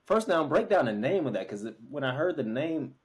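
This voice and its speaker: A man's voice: fairly high pitch, sing-song, brisk, slightly rough. A man hosting a casual podcast, joking around, swearing now and then and asking his guests questions. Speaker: podcast host.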